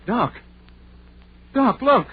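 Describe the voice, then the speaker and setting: A nervous country fellow in a vintage radio horror play. He is hesitant and folksy, his pitch swinging up and down at a slow pace. The old recording sounds thin and tinny. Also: animated, slightly rough, full character voice. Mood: alarmed. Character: timid, superstitious country sidekick, easily spooked.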